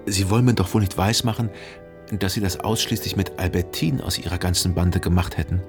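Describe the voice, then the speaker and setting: A man acting the brooding, jealous narrator of a literary radio drama, measured, introspective and formal in delivery. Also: low-pitched, music in the background. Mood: probing, insistent. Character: brooding, probing, jealous male narrator in mourning.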